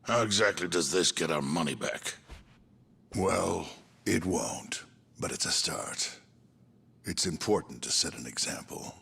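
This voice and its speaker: A man's voice: low-pitched, expressive, measured pace, gravelly, pushed and bright, slightly rough, heavily acted. A man playing a sneering, middle-aged yakuza captain in a video game cutscene, drawing out his threats. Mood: cold menace.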